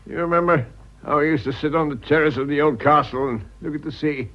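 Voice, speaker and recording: An actor playing a blustering but fond old aristocrat in a British radio drama, gruff and clipped, with an upper-class British accent. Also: male voice, on the high side, fast, muffled recording, lightly acted. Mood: fond, nostalgic.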